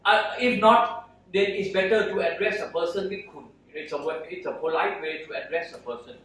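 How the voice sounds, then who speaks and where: A man's voice: sits high, moderate pace, a bit bright. A man hosting an event, politely explaining and repeating phrases as he introduces someone to the audience. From Thailand. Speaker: event host. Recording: roomy, distant microphone, faint noise.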